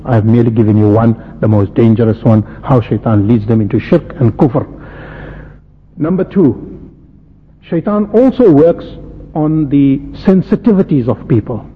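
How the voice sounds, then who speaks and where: A man's voice: mid-range voice, moderate pace, husky. A man preaching an impassioned Islamic sermon, emphatic, with repetition and rhetorical questions. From South Africa. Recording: muffled, reverberant hall with background noise.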